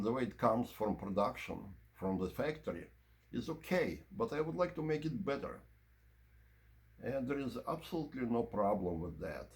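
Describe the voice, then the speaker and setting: A man presenting an informal product review and demonstration, explaining in measured, short broken phrases. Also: medium pitch. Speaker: presenter of audio equipment review.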